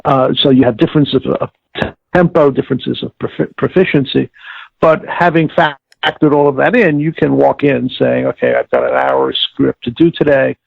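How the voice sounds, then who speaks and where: A thin, phone-like line carries an older man being interviewed. His voice is gravelly and dry, and he explains methodically, halting often as he lays out each point. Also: mid-range voice, sing-song, no room sound. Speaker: audio director-producer, interviewee.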